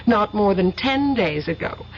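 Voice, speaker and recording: An actress playing an earnest, gentle young woman in a radio drama. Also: medium pitch, animated, measured pace, close to an everyday voice. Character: earnest young woman, conflicted but warm. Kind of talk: 1970s radio drama acting. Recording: lo-fi old radio recording with faint hiss.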